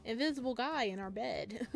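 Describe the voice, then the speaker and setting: Casual, expressive woman reading a game aloud. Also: on the high side, moderate pace, vocal fry. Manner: casual, expressive, jokey asides. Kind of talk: visual novel read-aloud with casual commentary.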